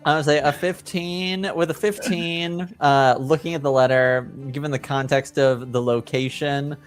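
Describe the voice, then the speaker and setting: A man's voice: a little high, steady tone, moderate pace, soft background music. A man acting as game master, casually narrating a tabletop roleplaying game among other players.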